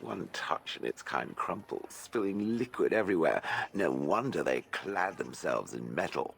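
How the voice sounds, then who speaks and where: A very deep, gravelly stone golem from a fantasy game. It speaks with deliberate, dry contempt for soft fleshy creatures, and its pitch swings expressively. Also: male voice, animated, a little hiss or hum, full character voice. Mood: haughty disdain. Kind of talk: video game character acting.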